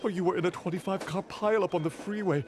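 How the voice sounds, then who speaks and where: An actor playing an astonished hospital doctor in a video game, excited and amazed. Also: male voice, high-pitched, fast talker, noisy background, natural voice.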